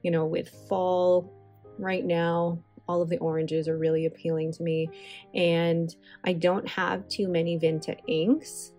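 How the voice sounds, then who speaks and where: A woman's voice: on the low side, level tone, a little slow, a little mellow, faint music behind. A woman hosting a stationery channel, chatting enthusiastically in a casual voice-over, with rambling asides. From Filipino heritage. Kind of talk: casual voiceover while swatching inks. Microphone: voice-over recorded separately.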